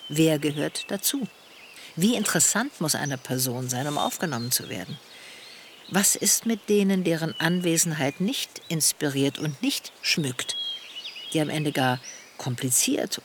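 A woman's voice: low-pitched, animated, background noise. Analytical older woman, birds behind. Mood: thoughtful, measured. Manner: measured, analytical, articulate. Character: thoughtful older woman, an intellectual queer friend. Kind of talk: radio play dialogue, conversational essay.

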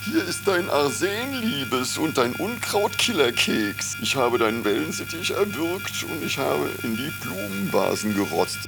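Murmuring, creaky henpecked husband. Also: male voice, on the high side, very animated, a little slow, few pauses, full character voice. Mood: falsely tender. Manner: murmuring, falsely tender. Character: meek, murderous henpecked husband, sweetly muttering. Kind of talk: radio play acting. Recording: music bed behind the voice.

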